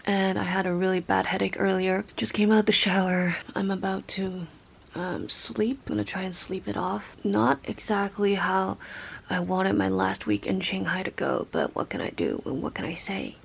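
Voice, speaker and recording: Hoarse, sick woman half-whispering to her camera. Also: normal speed. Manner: quiet and low-key, slipping into whispers. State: sick, hoarse with a scratchy throat. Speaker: vlogger. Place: at home in her room.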